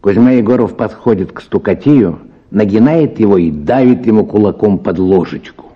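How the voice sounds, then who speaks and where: A man narrating a short story in an expressive, scripted radio drama style. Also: on the low side, moderate pace, slightly rough, lo-fi sound.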